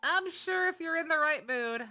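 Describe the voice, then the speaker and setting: A woman's voice: very high voice, lively intonation, normal speed, sharp tone, soft background music. A woman livestreaming a game, chatting casually with viewers in a sweary, wry, sarcastic way. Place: indoor game streaming setup, game music behind.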